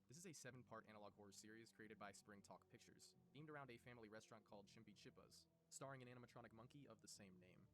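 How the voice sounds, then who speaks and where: A man reading a scripted video-essay narration in a rapid, informative and lightly wry way. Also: lowish voice, background noise.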